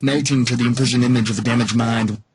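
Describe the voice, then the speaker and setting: A man's voice: medium pitch, fairly even intonation, fast, darkish tone. A man delivering rhythmic spoken verse, processed through a vocoder effect with shifted formants. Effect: vocoder with formant shifting and distortion. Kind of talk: rapid rhythmic spoken verse vocal sample. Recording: noisy, processed vocal sample.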